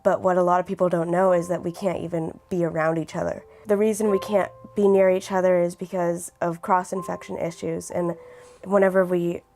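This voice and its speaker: An eighteen-year-old woman with a raspy voice who keeps clearing her throat, speaking earnestly and reflectively in a documentary interview. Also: lowish voice, level tone, fairly quick, a little mellow. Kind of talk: personal documentary testimony.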